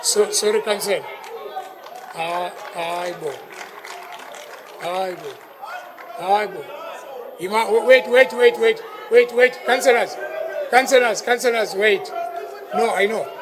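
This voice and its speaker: A man's voice: high-pitched, slow pace, long pauses, in a large hall, crowd noise, background noise. A South African man chairing a council meeting, repeating himself as he calls councillors to order. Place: council chamber.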